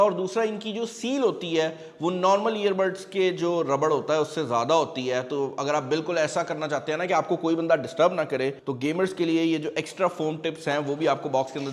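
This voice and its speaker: High-voiced Pakistani man in a reverberant room. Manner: conversational, mixes English phrases into speech. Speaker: tech reviewer and channel host. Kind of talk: product review and unboxing, casual explaining to viewers. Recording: long reverberant room echo.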